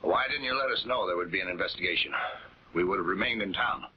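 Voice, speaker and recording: A man's voice: sits low, fast, close to an everyday voice. Guy playing shifty defensive suspect, old 40s tv crime show, muffled and a bit noisy. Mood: evasive. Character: evasive, defensive male murder suspect. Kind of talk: 1940s television crime drama acting. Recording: dull, noisy 1940s film soundtrack.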